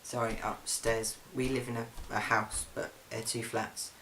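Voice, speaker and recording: Young man, monotone, mid pitch, chatty and halting with ums, home video diary, faint hiss. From England. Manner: chatty, frequent ums and restarts. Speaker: vlogger. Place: flat, indoors.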